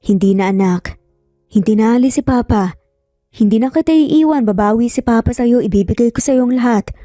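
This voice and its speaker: A woman voicing an earnest, remorseful young father with a hushed, emotional Filipino-accented delivery in an audio story. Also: on the high side, a little fast, dry recording, studio quality, faint music behind, lightly acted.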